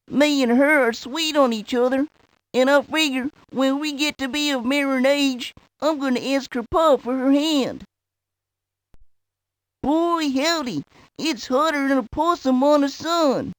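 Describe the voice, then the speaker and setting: A cheerful video game country kid talks in a high, boyish voice with a Southern US accent. He drawls in a folksy way, close and dry on the mic. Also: a little slow, dry recording. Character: folksy, cheerful country boy who loves frogs and fishing. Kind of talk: video game character introduction.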